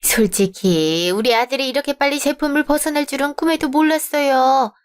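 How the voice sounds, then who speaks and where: A pushy middle-aged mother-in-law-to-be, voiced by a woman in a radio drama, with a raised, higher-pitched voice. She is blunt and patronizing, a mother who looks down on her son's bride. Her apologies come out stiff. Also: brisk, lightly acted. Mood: condescending.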